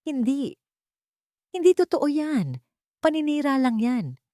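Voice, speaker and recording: Woman acting shrill, venomous old nanny. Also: big pitch swings, slow, dark tone, dry recording, clean studio sound, heavily acted. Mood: panicked denial. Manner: venomous, bitter outbursts. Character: venomous, vengeful elderly nanny, bitter and menacing.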